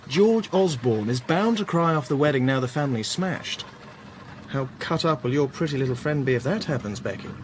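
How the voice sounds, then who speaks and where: An actor as a blunt gentleman, animated. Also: mid-range voice, normal speed, a little hiss or hum, lightly acted. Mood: blunt, doubtful. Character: blunt, slow-witted, fond English gentleman. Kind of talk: period radio drama acting.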